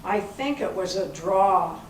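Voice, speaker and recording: A woman's voice: medium pitch, expressive, takes it slowly. A woman playing an agreeable older lady in an amateur readers theater comedy. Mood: mild, agreeable. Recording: echoey room, distant from mic, faint noise.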